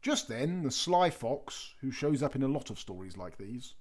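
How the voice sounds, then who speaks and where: Children's story reader: lively, swooping intonation, medium pitch, informal asides. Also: normal speed. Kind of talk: animated read-aloud storytelling with chatty asides.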